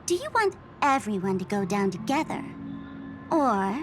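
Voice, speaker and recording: A woman's voice: medium pitch, very animated, moderate pace, lightly acted. Woman voicing a teasing masked trickster. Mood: menacing. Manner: theatrical, teasing, laughs at her own jokes. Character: mocking masked female trickster, playful and menacing. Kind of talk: video game dub. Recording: game cutscene with music underneath.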